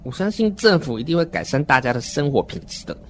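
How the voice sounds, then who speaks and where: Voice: medium pitch, very animated, fast talker, a little hiss or hum. An adult performer voicing a naive, uneasy, wordy seven-year-old child who narrates a horror radio drama in an earnest, dramatic way. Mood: earnest, indignant.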